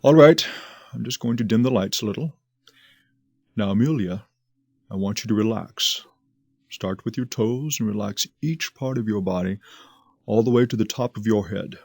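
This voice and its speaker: An actor playing a calm, reassuring hypnotist doctor in an audio drama, with a measured, soothing, commanding delivery. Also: male voice, on the low side, husky, dry recording, clean studio sound.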